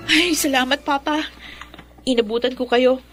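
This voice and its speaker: A woman's voice: high voice, steady tone, normal speed, over loud music, close to an everyday voice. A woman acting in a Filipino radio drama as a doting but skeptical young daughter who teases. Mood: sweet, teasing.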